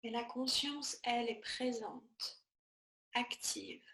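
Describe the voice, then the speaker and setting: Slow, high-voiced woman guiding relaxation. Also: pushed and bright, roomy sound, poor audio. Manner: soothing, measured, short phrases with pauses. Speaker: yoga nidra teacher. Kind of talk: guided relaxation meditation.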